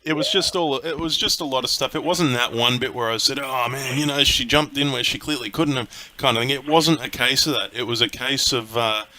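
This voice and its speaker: A man hosting an interview, relaxed, reacting briefly and laughing along. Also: mid-range voice, fairly quick, hardly any pauses. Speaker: interviewer, site host. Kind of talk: casual interview.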